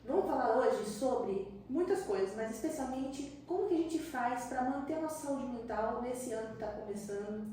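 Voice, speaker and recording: Slightly high woman host, echoey room. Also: lively intonation, normal speed, hardly any pauses, slight background noise. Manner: warm, encouraging, playful. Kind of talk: casual interview chat. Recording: echoey room, distant mic.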